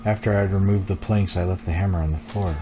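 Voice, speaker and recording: A man's voice: sits low, fairly even intonation, fast, music in the background. A man reading the text of a horror game aloud as scripted storytelling while streaming it. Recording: clear background noise.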